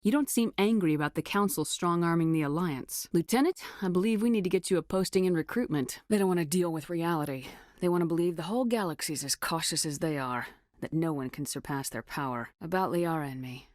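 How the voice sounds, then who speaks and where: A confident military commander of a starship , played by a woman in a video game. Her voice sits on the low side and is recorded close and dry. She speaks with clipped, assured authority and a dry, teasing wit. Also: fairly quick, a little mellow, no room sound, lightly acted. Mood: measured, wry. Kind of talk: scripted video game dialogue.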